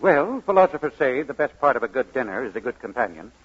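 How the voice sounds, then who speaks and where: An actor playing a genial, courteous older chief of staff with professional authority in a radio comedy. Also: male voice, medium pitch, sing-song, quick pace, husky. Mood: genially authoritative. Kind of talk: 1940s radio romantic comedy acting. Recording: dull lo-fi old radio recording.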